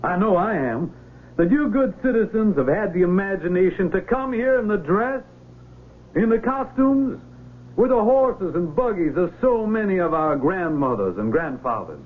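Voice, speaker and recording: Pompous senator, animated high-pitched oratory. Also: male voice, moderate pace. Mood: solemn, grandiose. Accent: American. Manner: oratorical, formal, grandiose. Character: pompous United States senator giving a ceremonial speech. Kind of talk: 1970s radio drama acting. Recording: tinny old radio recording.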